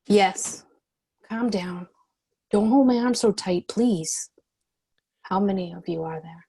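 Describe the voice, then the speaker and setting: A determined young heroine with a husky edge, played by a woman. She is bossy and defiant yet compassionate, as read in a dramatic script workshop. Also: medium pitch, slow pace, dark tone. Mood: concerned, brisk. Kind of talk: screenplay table read.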